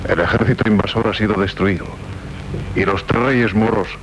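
A man's voice: lowish voice, steady tone, moderate pace, background noise, natural voice. An actor with a Castilian accent playing a grave, loyal nobleman in a 1970s radio drama, delivering tidings in solemn declamation. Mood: solemn, admiring. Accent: Castilian Spanish. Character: grave, loyal nobleman bringing news of battle.

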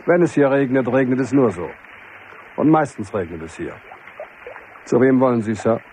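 A man's voice: medium pitch, expressive, normal speed, a little mellow, slight background noise, close to an everyday voice, rough recording. A man playing a dutiful army sergeant in a radio drama, formal, dry and deferential. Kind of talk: German radio drama acting.

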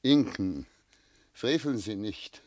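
A man's voice: fairly low pitch, lively intonation, slow pace, darkish tone, dry recording. An actor playing a tormented, self-doubting man of seventy in love, speaking solemn literary German lines in a classic radio play. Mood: grave, pleading. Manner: solemn, literary, declamatory phrasing.

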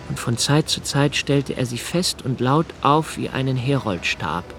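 An actor playing a brooding, anxious man of twenty-eight in a radio play, narrating his notebooks in a measured, reflective way with deliberate pauses. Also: medium pitch. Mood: pensive, observant. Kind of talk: literary radio play monologue, first-person narration.

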